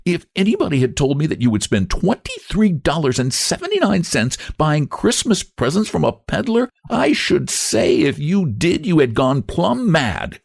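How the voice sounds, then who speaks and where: A man voicing a sharp, jealous country spinster with a drawling, snappish way of talking in a storybook reading. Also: on the high side, wildly swooping pitch, moderate pace, gravelly, few pauses, no echo, clean studio sound, voice pushed up, heavily acted. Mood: sniping. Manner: drawling, snappish rural speech. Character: Lottie, sharp, jealous, sniping spinster woman.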